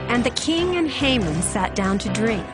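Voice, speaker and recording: A woman giving solemn, measured dramatic narration of scripture, with music behind her. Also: on the high side, big pitch swings. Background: background music.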